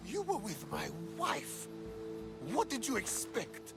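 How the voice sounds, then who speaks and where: An actor playing a proud, wronged husband and mercenary, accusing and defiant, in a video game cutscene. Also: male voice, extremely high pitch, wildly swooping pitch, slow pace, gravelly, exaggerated voice. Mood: angry, accusing. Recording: music behind the dialogue.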